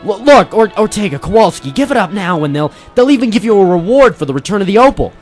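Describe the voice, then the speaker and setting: A man's voice: high voice, very animated, fast, soft background music. A man playing a persistent, wry ex-Marine private eye in an old-style detective radio drama, hardboiled and informal, narrating and talking in character. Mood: coaxing, wry. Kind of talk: 1940s detective radio drama, first-person narration and dialogue.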